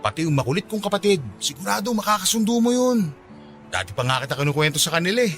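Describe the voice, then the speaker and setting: A man's voice: high voice, expressive, fast talker, fairly bright tone. Eager sweet young pinoy guy in a radio love drama, voice swings up and down, faint music. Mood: insistent. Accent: Filipino. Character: eager, caring young male office worker, shyly smitten. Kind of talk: radio drama acting in Taglish.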